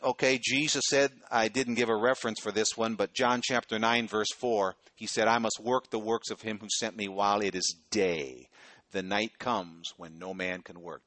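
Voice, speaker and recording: A man's voice: lowish voice, sharp tone, no echo. A middle-aged man preaching a sermon in a conversational way, often checking in with his listeners. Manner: conversational preaching, frequent "okay?" check-ins. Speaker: pastor.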